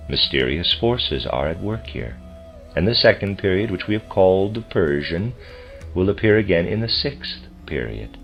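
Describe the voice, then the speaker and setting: Male reader for a formal lecture text, low-pitched, deliberate delivery, dull lo-fi sound over music. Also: very animated, vocal fry. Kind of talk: audiobook-style reading of a translated lecture. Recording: dull lo-fi recording with music behind.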